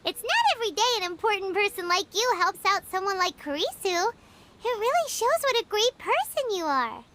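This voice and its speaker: A woman voicing a tiny, cheerful, outspoken fairy girl in a video game, with a squeaky, childlike voice. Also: very animated, moderate pace, background noise. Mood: curious, friendly. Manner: perky, refers to self in third person. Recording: loud game music behind.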